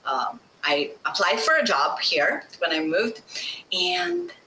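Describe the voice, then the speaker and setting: Middle-aged woman, Bosnian first language, animated storyteller, bright voice, echoey room. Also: medium pitch, slow pace, a little hiss or hum. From Slovenia. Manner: animated, laughing, acts out quoted voices. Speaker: guest. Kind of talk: candid storytelling interview.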